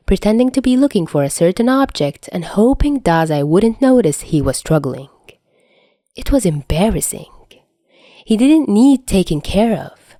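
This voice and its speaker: A woman narrates a piece of fiction in a fairly low voice. The delivery is scripted storytelling, recorded dry with no room sound. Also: dark tone, no echo. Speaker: podfic narrator.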